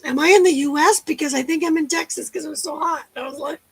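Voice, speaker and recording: Canadian woman, very high voice, gravelly fry, playful teasing chat, video call. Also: dry recording. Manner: playful, teasing, quick to joke. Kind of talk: casual group chat.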